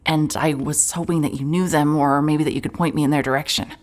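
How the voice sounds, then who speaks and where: Woman playing a low-voiced female private eye. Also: fairly even intonation, brisk, natural voice. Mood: eager, careful. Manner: hesitant, stammering in dialogue, brooding in narration. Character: dogged, awkward female private investigator narrating her case. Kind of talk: cinematic audio drama acting and noir narration.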